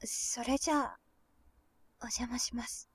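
A woman as a high-voiced, pensive schoolgirl. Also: fairly even intonation, unhurried, husky, in a whisper, exaggerated voice. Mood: hesitant. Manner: reserved, courteous, hesitant. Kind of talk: audio drama acting.

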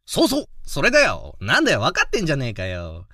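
An actor playing a hot-blooded, friendly high-school boy, rough-spoken and easily flustered, in a youth romantic-comedy voice drama. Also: quick pace, no room sound, clean studio sound, full character voice. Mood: cheerful. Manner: rough casual speech, excitable, blustering.

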